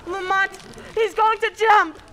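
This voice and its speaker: A woman's voice: very high-pitched, fairly even intonation, measured pace, pushed and bright, some chatter behind, heavily acted. A woman playing a detective's curious female companion in an old-time radio drama. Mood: alarmed.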